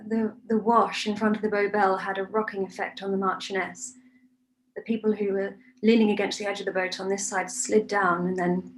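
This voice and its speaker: A woman's voice: mid-range voice, level tone, brisk, slight background noise, close to an everyday voice, low-quality recording. A woman playing a sober disaster survivor giving restrained, matter-of-fact testimony in a play table read. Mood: sober, certain. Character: sober, certain female disaster survivor witness.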